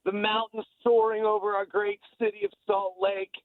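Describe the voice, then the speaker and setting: Higher-voiced American man on a phone line. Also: monotone, normal speed, no room sound. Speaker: sports broadcaster, radio guest. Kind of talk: casual radio chat.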